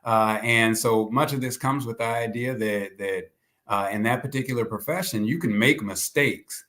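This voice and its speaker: A man speaking as a professor guest in conversational commentary, deliberately repeating words as he builds each point. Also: medium pitch, a bit bright.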